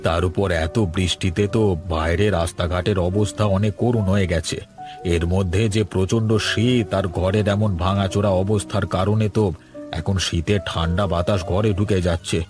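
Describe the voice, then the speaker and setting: A man acts as an elderly cartoon grandfather with a low voice. He is folksy and talkative, over clearly audible music. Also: steady tone, moderate pace, close to an everyday voice. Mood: weary. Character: elderly poor father and doting, carefree grandfather. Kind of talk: cartoon story voice acting.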